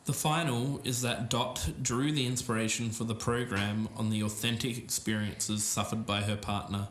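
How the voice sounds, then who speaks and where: A man's voice: on the low side, fairly even intonation, normal speed, a little hiss or hum, natural voice. An Australian man playing a careful, earnest podcaster in a scripted audio drama. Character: earnest male podcaster investigating a mysterious lost show. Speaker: podcast co-host character.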